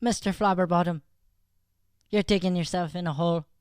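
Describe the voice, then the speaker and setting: A woman acts out a stern female school principal with an Irish accent in comic radio drama. Her voice is crisp and smooth, captured close and dry. She is firm and quick to reproach. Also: on the low side, fairly even intonation, a little mellow, no echo, lightly acted. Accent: Irish, put on. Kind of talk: improvised radio-play comedy.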